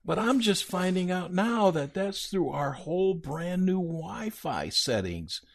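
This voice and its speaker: A man's voice: high voice, very animated, a little slow, full character voice. A man playing a kindly old Santa Claus on a children's radio-style podcast, talking in a rambling, hesitant, folksy way. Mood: cheerful.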